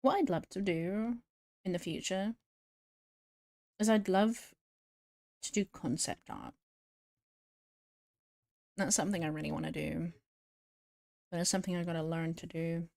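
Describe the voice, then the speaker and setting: A woman's voice: lowish voice, unhurried, creaky, long pauses, a little mellow, no echo, clean studio sound. A woman streamer chatting casually and giggling as she answers viewers while she draws. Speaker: VTuber streamer.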